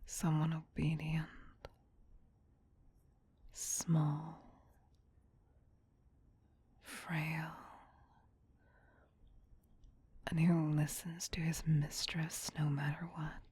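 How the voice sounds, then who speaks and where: A woman playing a possessive, teasing, soothing vampire queen in a whispered ASMR roleplay, breathy, hushed and doting yet domineering. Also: deep voice, monotone, very slow, pauses often, slight background noise.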